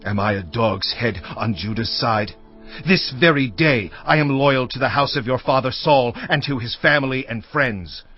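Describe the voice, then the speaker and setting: An actor playing a proud, forceful commander of ancient Israel in a dramatized audio Bible, declamatory and indignant. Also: male voice, mid-range voice, moderate pace, natural voice. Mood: indignant anger. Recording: dull lo-fi audio with music behind. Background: faint music.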